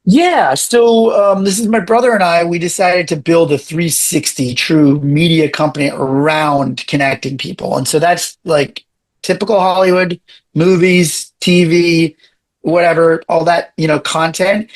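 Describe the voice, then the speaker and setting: A man with a raspy voice talks as a podcast guest, and his pitch sits a little higher than most men's. He is animated and talks in quick bursts, often restarting his sentences. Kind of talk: casual interview chat.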